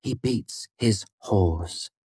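A fierce, proud little woman acted by a male audiobook narrator, speaking in a raised register. She is curt and sarcastic, with a foul mouth and a sharp edge. The recording is close and dry. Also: mid-range voice, lively intonation, normal speed, breathy edge, no room sound, voice pushed up. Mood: cold fury. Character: sardonic, blunt, foul-mouthed small woman with fierce pride. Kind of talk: audiobook character dialogue.

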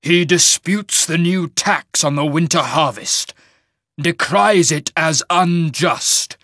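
A man voicing a wrathful, imperious shaman mage lord in a fantasy audiobook, with booming, commanding pronouncements. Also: takes it slowly, pushed and bright, no echo, studio quality, exaggerated voice. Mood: indignant. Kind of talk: dramatic fantasy audiobook character.

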